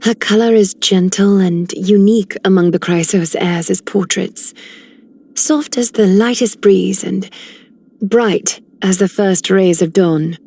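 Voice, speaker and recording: A poised, slow-speaking lady. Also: medium pitch, dark tone. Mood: serene. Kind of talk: scripted game character lines.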